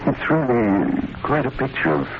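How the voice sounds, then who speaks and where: A man acting as a wry, genial storyteller in an old radio mystery, narrating in a measured, theatrical way. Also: mid-range voice, animated, noisy background, close to an everyday voice. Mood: wry, ominous. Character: ominously genial male storyteller of murder tales. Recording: dull vintage radio recording, music behind. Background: music.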